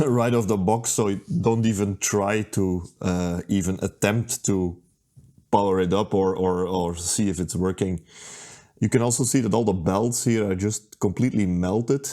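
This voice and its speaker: A man talking through a hands-on repair at his workbench, unhurried and thinking aloud with plenty of ums and uhs. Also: lowish voice, warm tone. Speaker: repair video host. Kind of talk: casual how-to narration.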